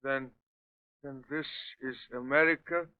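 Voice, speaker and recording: An actor playing a dazed, frightened man coming to, halting and faltering, in a 1930s radio mystery. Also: mid-range voice, fairly even intonation, natural voice. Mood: dazed, bewildered. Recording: thin, tinny 1930s radio recording.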